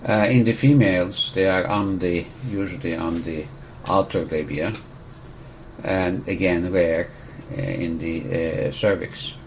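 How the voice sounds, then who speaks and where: A man from Turkey, a physician calmly explaining a disease in an interview, precise and pausing to rephrase. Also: sits low, a little hiss or hum. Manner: measured, precise, pauses to rephrase. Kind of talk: explanatory medical interview answers.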